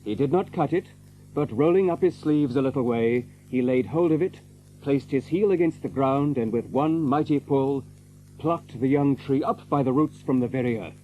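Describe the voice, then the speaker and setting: An actor serving as the measured storyteller of an old legend in an audio drama. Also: male voice, mid-range voice, narrow, tinny audio, a little hiss or hum, natural voice. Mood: measured storytelling. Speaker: narrator.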